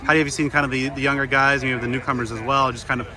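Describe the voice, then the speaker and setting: A male sports reporter asks long, winding questions at a brisk pace and pads them with fillers. Crowd chatter surrounds him outdoors. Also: mid-range voice, little pitch movement. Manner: rambling, hedging questions full of fillers. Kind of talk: interview questions.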